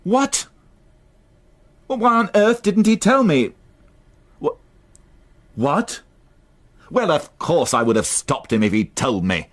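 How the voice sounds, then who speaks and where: A man's voice: a little high, very animated, slow, pauses often. An actor playing an irritable, sardonic male police inspector in a radio crime drama. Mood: exasperated. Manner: curt, exasperated, sardonic.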